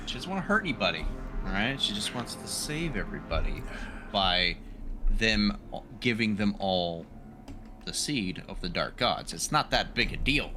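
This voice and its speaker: A man's voice: on the low side, big pitch swings, normal speed, over music. A man chatting casually as a player on a tabletop roleplaying show. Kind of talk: casual chat.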